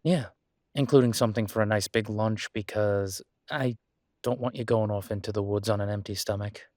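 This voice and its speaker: A man's voice: fairly low pitch, fairly even intonation, moderate pace, a little mellow, dry recording, studio quality, lightly acted. A man playing a caring, easygoing boyfriend in a roleplay audio drama, speaking casually and reassuringly, with small hesitations. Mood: tender, reassuring. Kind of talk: roleplay audio drama, one-sided intimate conversation.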